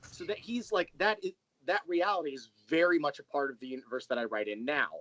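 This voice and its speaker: A man's voice: fairly high pitch, brisk, fairly bright tone, no echo, low-quality recording. An American man chatting casually as an interview guest, candid and self-deprecating in a rambling way. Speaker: interview guest, audio drama creator.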